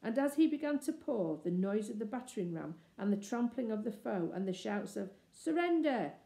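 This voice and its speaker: A woman reading a children's novel aloud in expressive, scripted narration. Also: medium pitch, brisk, creaky. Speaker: storybook reader. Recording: echoey, roomy sound.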